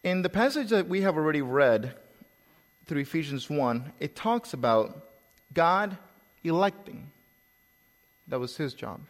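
A man from El Salvador with a slight Salvadoran Spanish accent, preaching a sermon in a relaxed storytelling way, with pauses and repeated words. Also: a little high, in a large hall.

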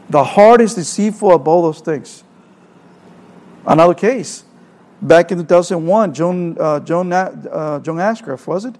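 High-voiced preacher with a Salvadoran accent. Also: male voice, a little mellow. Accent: Salvadoran Spanish. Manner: earnest, repeats phrases, asks rhetorical questions. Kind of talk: sermon.

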